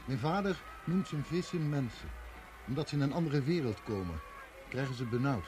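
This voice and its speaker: A man's voice: medium pitch, expressive, normal speed, noisy background, close to an everyday voice. An actor playing a naive, wistful fisherman's son from overseas, speaking simply and earnestly in a radio play. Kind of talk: Dutch radio play acting.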